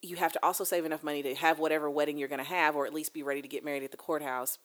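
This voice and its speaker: A woman's voice: deep voice, fairly even intonation, racing. A woman hosting a casual conversation, speaking emphatically and building points through repeated phrases. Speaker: interview host.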